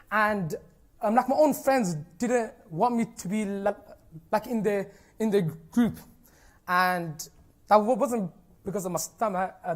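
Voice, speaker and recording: A young man speaks with a noticeable stammer, repeating sounds and stalling on the first syllables of words. He is a teacher addressing an audience in an informal, earnest, encouraging way, with many "you know" and "like" fillers. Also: sits high. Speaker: teacher giving a conference talk. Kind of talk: informal personal talk to an audience.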